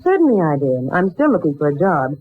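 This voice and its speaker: A woman's voice: low-pitched, sing-song, fast, husky, no room sound, full character voice. An actress playing a proud, polite young woman looking for work, in a dramatic 1940s radio style. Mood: eager. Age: young adult. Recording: muffled old 1940s radio recording.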